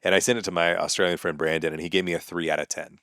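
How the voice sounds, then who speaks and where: A man's voice: sits low, fairly even intonation, rapid-fire, fairly bright tone, no echo, studio quality. A man, a comedian and podcast host ranting sarcastically and with exasperation in a casual monologue.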